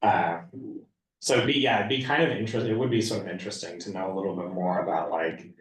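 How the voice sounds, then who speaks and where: Lowish voiced guy thinking out loud in a group chat, lots of room echo. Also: a little hiss or hum. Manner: halting, hedging, thinking aloud. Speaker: reading group discussion leader. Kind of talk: casual group discussion. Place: indoor room at a group meeting. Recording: echoey room, distant mic.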